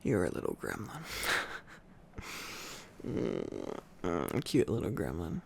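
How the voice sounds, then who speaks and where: A man's voice: low-pitched, huge pitch swings, slow, vocal fry, darkish tone, slight background noise. A sleepy man doing an intimate ASMR boyfriend-style reading, tired and affectionate, with playful asides to his listener. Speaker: ASMR boyfriend roleplay storyteller.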